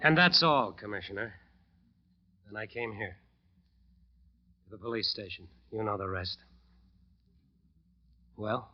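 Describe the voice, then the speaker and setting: A man's voice: on the low side, lively intonation, measured pace, long pauses. An American actor playing a haunted jazz bandleader, telling his story in clipped, hard-boiled radio-drama sentences. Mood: weary, drained. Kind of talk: 1940s-50s radio acting, first-person narration. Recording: dull old radio recording, music behind.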